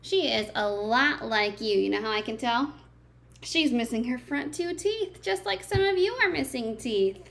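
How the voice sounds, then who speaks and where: A woman's voice: on the high side, animated, a little slow, vocal fry, fairly bright tone. A woman reading a picture book aloud to young children like a teacher, expressively, with simple chatty asides and questions to her listeners.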